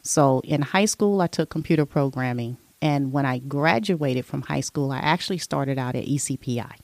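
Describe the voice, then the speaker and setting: A woman's voice: rumbling low, moderate pace, a little mellow, no room sound. A middle-aged woman telling her life story in a casual interview, chatty, with frequent "you know" fillers. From born abroad, raised in military family. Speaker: interview guest.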